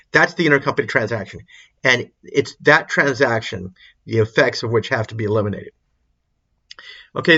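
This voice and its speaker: A man's voice: mid-range voice, pushed and bright, dull audio. A man giving an informal explanatory lecture as an accounting instructor, repeating and rephrasing his points for emphasis. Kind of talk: explanatory lecture over a worked example.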